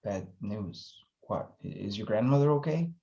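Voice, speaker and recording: An actor playing an awkward, sarcastic, lovesick young man in a screenplay table read, with halting, stammering delivery. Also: fairly low pitch, expressive, vocal fry, mellow, lo-fi sound. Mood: concerned.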